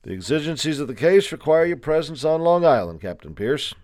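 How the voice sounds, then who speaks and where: Man doing a commanding army officer, raised pitch. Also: very animated, fairly quick, darkish tone, no echo, clean studio sound. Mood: formal command. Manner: clipped, formal, commanding. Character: brisk, stern male army officer giving orders.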